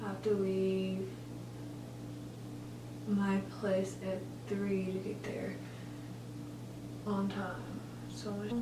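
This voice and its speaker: A woman's voice: medium pitch, completely flat delivery, very slow, pauses often. A woman in her twenties chatting casually while doing her makeup, rambling and correcting herself, with lots of filler words. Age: 20s. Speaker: college student vlogger. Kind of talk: casual get-ready-with-me chat. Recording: roomy echo, distant mic, faint hum.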